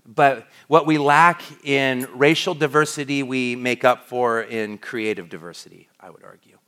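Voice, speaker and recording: A man's voice: medium pitch, fairly even intonation, normal speed, bright, forceful tone, clean tone. A middle-aged man from the United States, preaching a sermon as a pastor in a conversational way, often restarting his sentences. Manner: conversational, with frequent restarts and fillers.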